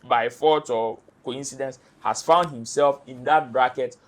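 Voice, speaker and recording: A man's voice: medium pitch, fairly even intonation, a little slow, poor audio. A man with a Ghanaian accent, arguing passionately as a panelist on an entertainment talk show, firing off rhetorical questions. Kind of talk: animated studio discussion.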